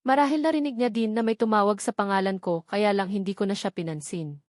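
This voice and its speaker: Female audiobook narrator for first-person fiction, mid-range pitch, dry recording. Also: brisk, no room sound.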